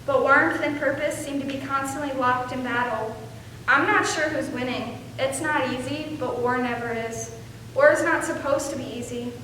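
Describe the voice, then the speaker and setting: A woman's voice: high-pitched, moderate pace, sharp tone. A woman playing an earnest, cold male soldier of the Civil War, reading a letter home in readers theater. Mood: resolute. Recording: very echoey hall, distant, faint noise.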